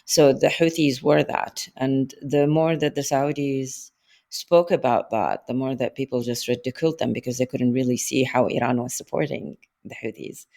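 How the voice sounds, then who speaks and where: A woman's voice: extremely low pitch, fairly even intonation, moderate pace, gravelly, warm tone. A woman guest analyst in a podcast interview, explaining at length in a thoughtful way.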